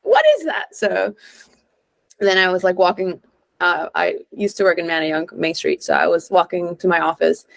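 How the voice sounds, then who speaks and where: Chatty young woman, slightly low voice. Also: moderate pace, gravelly, no echo, low-quality recording. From Honduras. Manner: informal and expressive, peppered with "like", acts out quoted lines. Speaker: guest, real estate investor and entrepreneur. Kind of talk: casual, chatty interview storytelling.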